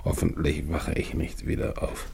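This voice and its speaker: An actor playing an anguished, guilt-ridden, dying polar expedition captain in a German radio play, with halting, brooding delivery. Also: male voice, very deep voice, little pitch movement, vocal fry, background noise, exaggerated voice. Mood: grieving, weary.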